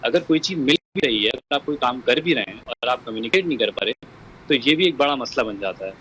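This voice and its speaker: A man giving a measured account and appeal as an interviewed relative, dropping in English words. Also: mid-range voice, expressive, bright, forceful tone, low-quality recording. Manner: code-switches into English words. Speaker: bereaved relative being interviewed. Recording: faint background noise.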